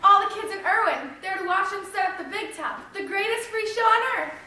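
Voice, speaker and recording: Casting: woman playing a very high, swoopy, excited small-town child, readers theater, distant echoey stage with noise. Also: fairly quick, full character voice. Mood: excited, awed. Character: excited small-town kid at the circus parade. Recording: echoey stage from far off, noisy.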